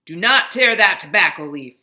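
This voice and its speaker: Bullying storybook factory boss read by a woman, forceful and barking out commands. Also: fairly low pitch, lively intonation, normal speed. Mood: angry, commanding. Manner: barking, shouted orders.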